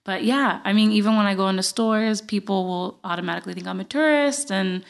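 Animated woman telling stories casually. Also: mid-range voice, brisk, vocal fry. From Puerto Rico. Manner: animated, joking, acts out remembered dialogue. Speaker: podcast guest. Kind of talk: casual conversational storytelling.

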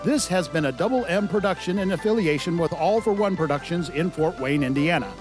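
A male credits announcer with a high-pitched voice. He reads a scripted announcement, with music clearly playing behind him. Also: lively intonation, a little fast.

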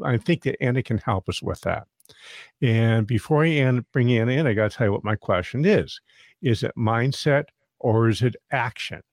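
A man's voice: medium pitch, darkish tone, dry recording, clean studio sound. A man hosting a podcast interview, talking in a friendly, rambling way and often restarting his sentences. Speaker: podcast host.

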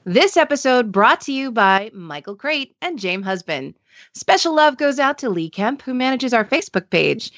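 High, lively woman announcer. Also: moderate pace, no echo, dull audio. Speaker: podcast sponsor announcer. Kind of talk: scripted promotional read.

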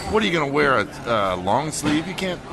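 A man's voice: fairly high pitch, big pitch swings, fairly quick, creaky. A man chatting as a podcast guest in a busy restaurant and bar, ranting in mock anger and swearing. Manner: mock-angry, profane ranting. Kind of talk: casual chat. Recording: loud bar music and crowd noise.

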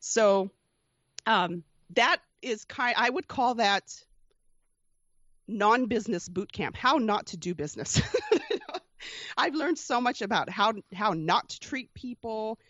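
A woman in her forties telling her life story as an interview guest, earnest and reflective, often restarting sentences and breaking into soft laughs. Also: on the high side, animated, takes it slowly, no room sound, lo-fi sound. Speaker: podcast host being interviewed as guest. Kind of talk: candid personal storytelling.

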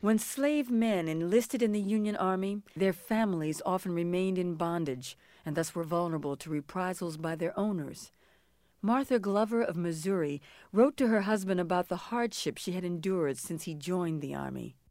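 A woman's voice: fairly low pitch, normal speed, darkish tone, dry recording, clean studio sound. A woman narrator delivering scripted historical documentary narration.

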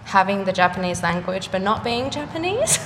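A young woman, an artist chatting casually in an interview, full of fillers and quick laughs. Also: mid-range voice, very animated. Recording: echoey room with faint noise.

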